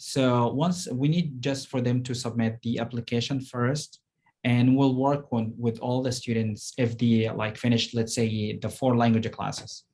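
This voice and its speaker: A male professor walks through course details for students. He hesitates often and searches for his words as he goes. His voice sits at an average pitch. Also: steady tone, unhurried, darkish tone. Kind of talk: explaining program details.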